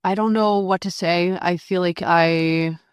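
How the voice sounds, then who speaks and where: A young woman whose first language is French, speaking as a podcast guest in a candid, hesitant way with many pauses and fillers. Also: fairly low pitch, fairly even intonation, smooth, dry recording.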